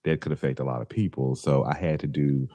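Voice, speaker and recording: A man's voice: a bass voice, fairly even intonation, a little fast, warm tone. A man in his forties co-hosting a podcast, joking and laid-back, stretching out his phrases. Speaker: podcast co-host. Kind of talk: casual chat.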